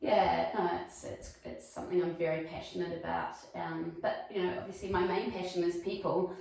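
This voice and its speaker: A woman's voice: lowish voice, level tone, normal speed, gravelly, darkish tone. A woman in her forties with a New Zealand accent, playing a friendly, chatty real estate agent with many ums. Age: 40s. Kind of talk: acted sales meeting. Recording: echoey room, mic far off.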